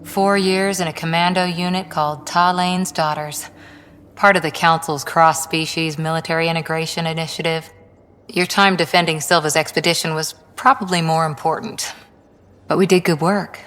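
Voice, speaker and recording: A disciplined, tough female soldier in a video game. Her voice is fairly low, and her delivery is clipped, dry and matter-of-fact. A long reverb trails her words. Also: fairly even intonation, normal speed, fairly bright tone, a little hiss or hum. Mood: dry, composed. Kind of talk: video game dialogue acting.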